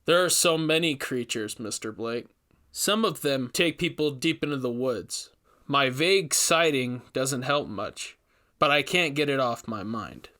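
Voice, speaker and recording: A man's voice: mid-range voice, a little slow, bright, forceful tone. A man reading as a grave young forest ranger. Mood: haunted, earnest. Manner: thoughtful, hesitant, grave. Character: troubled, earnest young male forest ranger.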